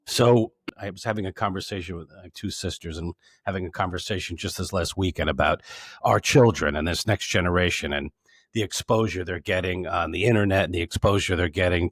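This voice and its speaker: A man's voice: sits low, no echo, studio quality. A man hosting an interview, who rambles and stacks several questions together before handing over. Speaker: podcast host. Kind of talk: interviewer asking open questions.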